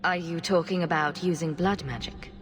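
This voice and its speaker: An actress as a level-headed heroine in echoing halls. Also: female voice, on the low side, fairly even intonation, moderate pace, a little hiss or hum, natural voice. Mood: questioning. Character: level-headed, diplomatic heroine, calm but firm. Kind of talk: dramatic fantasy game acting. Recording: long stone-hall reverb.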